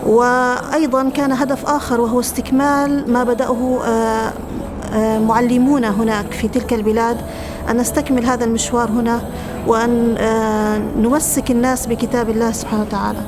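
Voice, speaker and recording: Brief: woman founder, very fast and earnest, higher voice, noisy room. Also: little pitch movement. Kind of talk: documentary interview.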